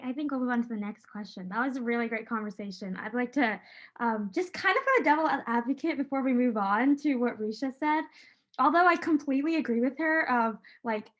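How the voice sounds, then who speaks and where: A teenage girl with a high-pitched voice who moderates a casual panel discussion and talks in a chatty way with many fillers. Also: highs cut off. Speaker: student moderator.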